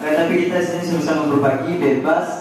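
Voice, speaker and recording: Young man, acehnese indonesian accent, high voice, chatty workshop leader, echoey hall, students in background. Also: quick pace, recorded from a distance, a crowd in the background. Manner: relaxed, chatty, asks the class questions. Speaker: calligraphy trainer. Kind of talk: casual workshop talk to students.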